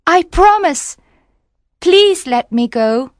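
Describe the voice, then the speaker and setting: A woman voicing a young mermaid princess in a storybook reading, with a soft, pleading way of speaking. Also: a little high, very animated, slow pace, dry recording, clean studio sound, voice pushed up. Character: young sea princess mermaid, gentle and frightened.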